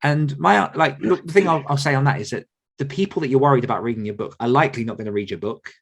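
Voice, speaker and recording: A man's voice: mid-range voice, fast talker, creaky. Chatty man on a candid podcast. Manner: candid, chatty, laughs often. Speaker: podcast host and author coach. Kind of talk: casual podcast chat.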